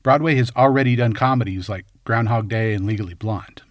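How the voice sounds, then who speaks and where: A man's voice: lowish voice, brisk, dry recording, clean studio sound. A man reading scripted film commentary as host, in a wry, tongue-in-cheek way. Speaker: video essay host.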